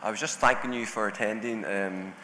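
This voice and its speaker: A man from Northern Ireland with a Northern Irish accent, speaking deliberately as a panelist at a conference. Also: on the low side, fairly even intonation, pushed and bright, a little hiss or hum. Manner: deliberate, enunciating carefully. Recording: echoey hall with faint ambience.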